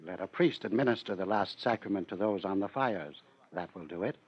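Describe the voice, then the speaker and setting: Low-voiced medieval doctor, calm, pragmatic, radio drama, dull vintage sound. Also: male voice, fairly quick. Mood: calm, grave. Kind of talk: 1940s radio acting. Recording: dull old radio broadcast.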